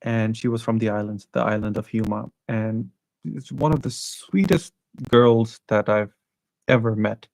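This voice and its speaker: A man's voice: lowish voice, steady tone, a little slow, gravelly, a little mellow, dry recording, poor audio. A young man from South Asia hosting a live stream, telling travel stories in a rambling, casual way with many restarts. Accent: neutral international English, worked on. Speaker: channel host.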